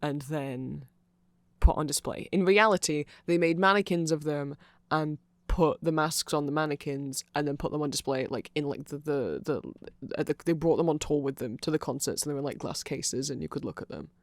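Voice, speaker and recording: A man with a slightly high voice talks in a casual, rambling ASMR explainer style. He sounds nerdy and keen on his subject, and the recording is close and dry with little room sound. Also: moderate pace, dry recording. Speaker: ASMR audio creator.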